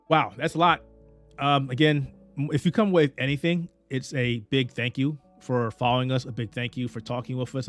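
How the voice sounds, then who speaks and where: A man running a small media outlet, talking casually to camera in an earnest, rambling way, often stumbling and restarting, with a voice that is wearing thin. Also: medium pitch. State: losing his voice. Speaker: head of a small gaming and anime media outlet.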